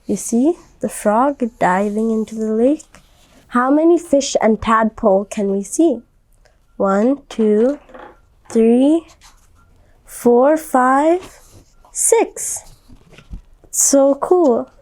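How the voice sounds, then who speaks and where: Slow-paced woman reading to young children. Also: on the high side, darkish tone, low-quality recording. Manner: simple, instructive phrasing with frequent questions. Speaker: volunteer children's book reader. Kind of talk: read-aloud storytelling for young children, with questions to listeners.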